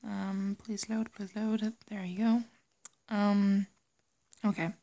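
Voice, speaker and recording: A young German woman with a light German accent, chatting casually and giggly, often slipping into a soft whisper. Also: mid-range voice, little pitch movement, slow pace. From Germany, raised in Singapore. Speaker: audio creator. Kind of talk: casual chat reading word lists.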